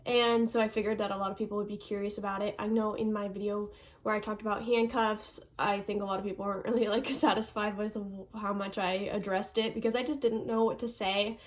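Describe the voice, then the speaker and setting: A young woman with a slightly stuffy, congested voice talks straight to her viewers in a loose, conversational way. She rambles a little, filling gaps with "um" and "like," her pitch sitting in an ordinary middle range. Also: flat delivery, a little fast. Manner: chatty, lots of ums and likes. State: stuffy nose. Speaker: vlogger. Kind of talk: casual Q&A vlog.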